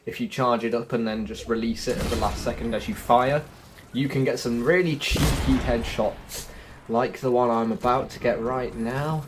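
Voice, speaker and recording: A man's voice: medium pitch, steady tone, moderate pace, noisy background. A man giving casual gameplay commentary as a video host, informal and a little stumbling in how he talks.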